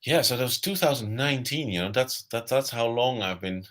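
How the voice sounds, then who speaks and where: A man's voice: mid-range voice, animated, a little fast, a bit bright. A middle-aged Dutch man with a Dutch accent, speaking reflectively as a guest on a live video-call interview, often repeating words as he thinks. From Netherlands. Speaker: guest author. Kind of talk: casual interview talk.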